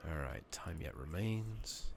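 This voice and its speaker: Very deep, gravelly man, softly spoken. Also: animated, slow. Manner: musing to himself, reading game text aloud. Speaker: ASMR gaming streamer. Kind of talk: soft-spoken, whispered ASMR game playthrough. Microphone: close makeshift replacement microphone. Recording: noisy makeshift microphone, clicking.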